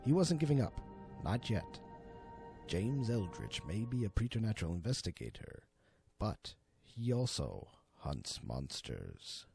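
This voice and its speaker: Deep gravelly man narrating a horror tale. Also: big pitch swings, warm tone, faint music behind. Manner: theatrical, deliberate, swooping delivery. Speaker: storyteller and amateur voice actor. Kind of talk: dramatic horror story narration.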